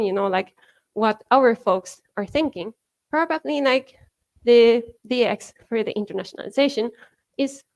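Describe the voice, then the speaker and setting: A woman from Japan with a Japanese accent, giving a conference talk in a chatty way full of fillers, laughing now and then. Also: on the high side. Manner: chatty, many fillers like "you know" and "like", laughs often. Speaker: conference speaker. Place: conference hall.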